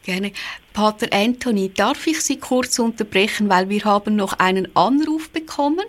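A woman's voice: medium pitch, moderate pace. A woman, a radio host who is polite and formal as she interviews a guest.

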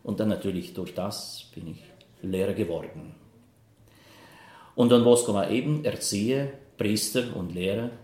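A man in his fifties from Ukraine, a priest, speaking German with a Ukrainian accent in halting interview answers with frequent fillers. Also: lowish voice, a little mellow. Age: 50s. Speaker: Salesian priest. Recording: roomy echo.

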